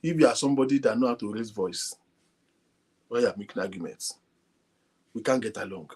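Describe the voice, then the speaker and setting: A man hosting an online talk show, speaking softly and addressing his viewers directly. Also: fairly low pitch, fairly quick, husky. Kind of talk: casual talk to a live audience. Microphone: phone linked to a computer.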